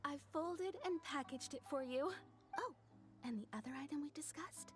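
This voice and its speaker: A woman's voice: high voice, normal speed, over music, lightly acted. A woman voicing a courteous, deferential female shopkeeper in a video game. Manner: deferential, polite. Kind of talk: animated game character acting.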